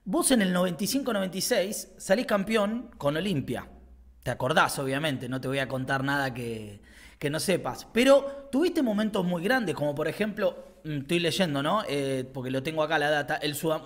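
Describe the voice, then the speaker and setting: Rambling Argentine host, fairly high voice. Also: male voice, expressive, moderate pace. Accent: Argentine Spanish. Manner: rambling questions, loose and friendly. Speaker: interview host. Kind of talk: casual interview. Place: small studio with brick wall. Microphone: studio mic on desk, headphones.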